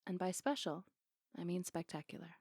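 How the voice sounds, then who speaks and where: A woman's voice: on the low side, moderate pace, darkish tone, breathy edge, dry recording, studio quality. A woman playing a flirty, playful, affectionate barista on a date in an intimate, teasing ASMR roleplay. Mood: warm, sincere.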